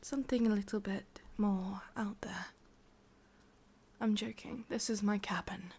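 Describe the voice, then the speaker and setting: A woman's voice: mid-range voice, fairly even intonation, measured pace, a little mellow, slightly rough. A woman with an English accent playing a possessive, sadistic ancient witch in a whispered ASMR roleplay, coaxing and controlling. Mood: possessive, teasing.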